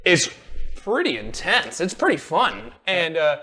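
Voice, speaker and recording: A man's voice: fairly high pitch, wildly swooping pitch, measured pace, bright, forceful tone. A man co-hosting a casual gaming podcast from a living room couch, talking animatedly and acting out lines he quotes. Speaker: podcast co-host.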